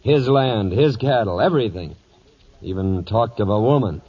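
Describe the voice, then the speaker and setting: Vengeful older cattle baron, American, blunt and commanding, low register, muffled old radio drama sound. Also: male voice, animated, normal speed, breathy edge. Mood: bitter. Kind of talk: 1940s radio western acting. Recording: muffled 1940s radio broadcast recording.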